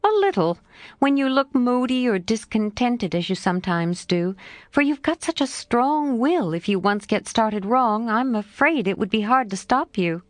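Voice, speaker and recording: A woman voicing a spirited, frank young woman who scolds and pleads with a friend in a bright, rising voice. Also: a little high, animated, moderate pace, no room sound, voice pushed up, natural voice. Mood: pleading.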